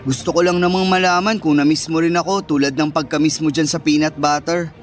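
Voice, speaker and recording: An actor playing a devoted, flirtatious suitor, tender and teasing, in radio drama dialogue. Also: male voice, on the high side, level tone, a little fast, faint music behind, close to an everyday voice. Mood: teasing, affectionate. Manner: tender, teasing, sweet-talking.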